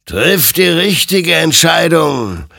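Ancient death rider for a dark audiobook, played by a male narrator: cold, solemn, very drawn-out delivery, husky. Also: on the low side, sing-song, no room sound, clean studio sound, pitched above the performer's own voice, exaggerated voice. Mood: solemn, ominous. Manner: slow, solemn pronouncements. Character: ancient all-knowing spectral rider of burning souls, cold and menacing.

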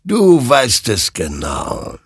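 A man's voice: medium pitch, wildly swooping pitch, drawn-out words, a bit bright, breathy edge, no room sound, clean studio sound, pitched above the performer's own voice, exaggerated voice. A man voicing an all-knowing spectral rider of smoke and burning souls in a dramatic story, solemn and accusing. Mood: commanding, knowing.

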